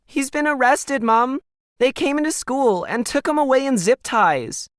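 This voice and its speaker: A man plays a distraught teenage daughter in a science fiction audio story. The voice is lifted high and sounds earnest, tearful and protesting, recorded close and dry. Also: animated, unhurried, no room sound. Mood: upset, alarmed. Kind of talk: audio fiction character dialogue.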